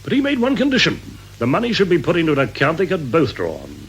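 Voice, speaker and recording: A man's voice: a little high, huge pitch swings, quick pace. A man playing a shrewd, commanding British police inspector in a radio crime drama, probing and drily authoritative. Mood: satisfied, dry. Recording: faintly noisy old radio recording.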